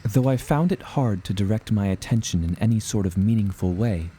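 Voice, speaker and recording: A man narrating a scripted first-person adventure story in a measured, dramatic way. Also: on the low side, dark tone, a little hiss or hum.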